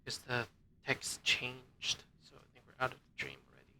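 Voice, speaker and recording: A woman's voice: a bass voice, big pitch swings, brisk, vocal fry, bright, forceful tone. A woman streaming a game with casual, exasperated, sweary reaction commentary.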